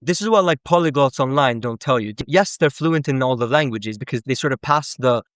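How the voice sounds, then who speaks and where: A man's voice: medium pitch, a little fast, a bit bright, no echo, studio quality. A man from Sweden, a native Azerbaijani speaker, explaining things in casual English with plenty of "like" and "basically.". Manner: conversational, frequent "like" and "basically". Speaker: language-learning content creator. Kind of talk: casual explanatory talk to camera.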